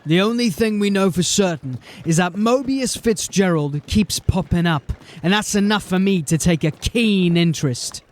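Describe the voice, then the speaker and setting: Man playing a high-pitched, sardonic watch officer. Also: normal speed, a little hiss or hum, natural voice. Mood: determined. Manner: dry, guarded, blunt. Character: wary, sardonic male city watch officer. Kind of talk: fantasy audio drama acting.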